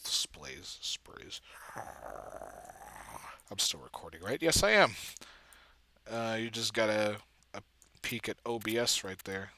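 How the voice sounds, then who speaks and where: Casting: male video editor, very bright and forceful tone, unhurried, halting, casual self-deprecating muttering. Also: on the low side, lively intonation, slight background noise. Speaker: video editor and comic dubber. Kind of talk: casual thinking-aloud screen-recording commentary.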